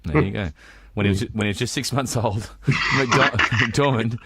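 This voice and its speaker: A man's voice: medium pitch, normal speed, vocal fry, background noise. A man, chatting casually as a podcast co-host. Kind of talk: casual chat.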